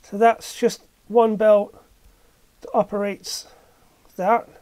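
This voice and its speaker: A woman narrating a hands-on repair demonstration casually, thinking aloud in short, halting phrases. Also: medium pitch, level tone, dark tone, clear, resonant tone, no room sound. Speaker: hobbyist repair video maker. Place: indoor workshop at a workbench.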